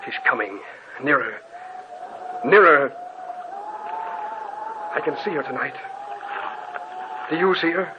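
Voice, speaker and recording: A man's voice: a little high, lively intonation, slow, pauses often. An actor playing a haunted, guilt-ridden man in a 1940s radio horror play, speaking tensely and fearfully. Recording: dull old radio recording with faint hiss.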